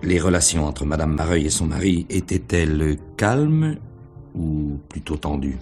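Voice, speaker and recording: An actor playing a probing, sly middle-aged police inspector in a radio mystery play, questioning in a hesitant, deliberate way. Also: male voice, extremely low pitch, animated, darkish tone, soft background music, full character voice. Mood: methodical questioning. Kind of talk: French radio mystery play acting in 1950s manner.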